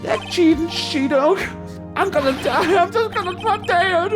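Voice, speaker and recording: Casting: overwrought, whiny young man on trial, acted by an amateur reader, pitched high, game melodrama with music behind. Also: measured pace. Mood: indignant, despairing. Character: melodramatic, whiny young male defendant, hot-headed and lovesick. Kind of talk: video game dramatic reading.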